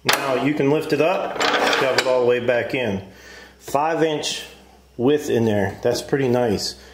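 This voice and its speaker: A man's voice: mid-range voice, a little slow. A middle-aged American man giving a casual hands-on tool demonstration in a plainspoken, folksy way. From United States. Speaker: homestead vlogger reviewing a tool. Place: open wooden workshop shed. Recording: roomy echo, clear background noise, clatter.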